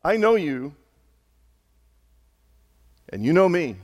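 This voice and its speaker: A man preaching a sermon as a pastor, hoarse, talking conversationally and building to slow, emphatic peaks. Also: a little high, big pitch swings, a little mellow, big-room sound. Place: church sanctuary.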